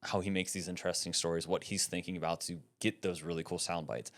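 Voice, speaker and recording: Male podcast guest, low voice, emphatic and conversational, explains with animated energy. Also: fast. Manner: animated, emphatic, often ends points with "right?". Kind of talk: casual conversational interview.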